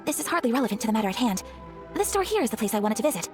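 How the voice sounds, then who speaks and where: A woman's voice: on the high side, sing-song, racing. A woman playing a refined, dutiful young noblewoman in an anime game, speaking formally and earnestly with careful politeness. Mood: composed. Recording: background music under the voice.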